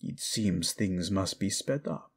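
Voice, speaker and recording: A man acting a slow, menacing old king. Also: low-pitched, expressive, slightly rough, heavily acted. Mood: commanding. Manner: imperious, slow, swinging from hissed to shouted. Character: menacing old male king, cruel and contemptuous. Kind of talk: dramatic fantasy audio drama acting.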